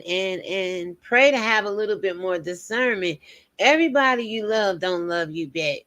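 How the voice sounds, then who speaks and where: A woman's voice: mid-range voice, fairly bright tone. A woman in her fifties hosting a casual commentary show, chatting to viewers with preachy asides. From United States. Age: 50s.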